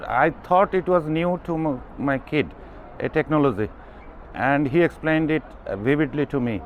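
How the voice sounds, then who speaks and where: A man with a Bangladeshi accent giving halting interview answers, searching for his words. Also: fairly high pitch. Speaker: internal medicine doctor. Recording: muffled sound with background noise.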